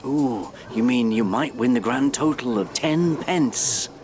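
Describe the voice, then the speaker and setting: A man's voice: mid-range voice, takes it slowly, background noise, natural voice. A man acting in an audio play as a prim, bookish, easily exasperated character, pedantic and stuffy. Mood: disapproving. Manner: pedantic, stuffy, hesitant when flustered.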